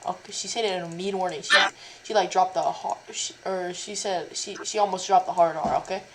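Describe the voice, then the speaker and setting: A woman's voice: on the low side, fairly even intonation, a little fast, very noisy. A woman interviewing a stranger on a casual video chat, rambling and stumbling with many likes and restarts. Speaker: interviewer, cosplayer.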